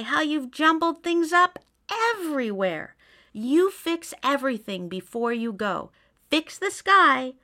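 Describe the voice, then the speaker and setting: Woman putting on a fussy male mouse, very high squeaky voice, bossy and scolding, swooping lively pitch, storybook. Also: full character voice. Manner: scolding, demanding. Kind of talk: storybook character voice.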